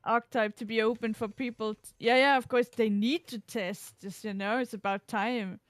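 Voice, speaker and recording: A woman streamer chatting casually about a game while playing, giving measured opinions. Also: a little high, steady tone, dry recording, clean studio sound. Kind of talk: casual gaming chat.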